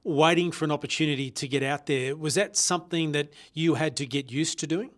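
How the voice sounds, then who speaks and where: A man's voice: on the high side, brisk, fairly bright tone. A man interviewing a guest in a conversational way. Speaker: podcast interviewer.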